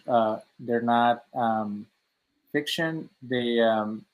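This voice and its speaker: Flat-toned Mexican-born man, halting. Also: mid-range voice, rough recording. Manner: halting, many fillers and restarts. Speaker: author guest. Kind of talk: interview answers.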